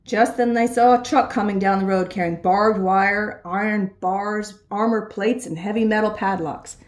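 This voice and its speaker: A woman reading a children's storybook aloud in an expressive storytelling style. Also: medium pitch, moderate pace. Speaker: storybook reader. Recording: echoey room.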